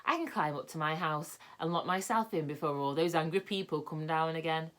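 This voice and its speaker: Lady doing a shy little fairy, soft and quiet, lowish voice. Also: fairly quick. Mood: timid. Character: gentle timid fairy girl.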